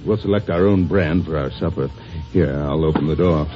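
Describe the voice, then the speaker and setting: A man's voice: a bass voice, normal speed, over music. A man playing a jovial but sinister schemer who chuckles with false friendliness, in a muffled old radio drama. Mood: sly. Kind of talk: 1950s radio drama acting.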